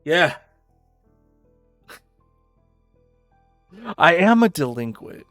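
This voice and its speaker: A man's voice: on the high side, very animated, drawn-out words, fairly bright tone, no room sound. Man streaming casually over music. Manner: casual, profane, joking asides. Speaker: streamer reading a visual novel aloud. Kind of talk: casual stream chat and read-aloud narration.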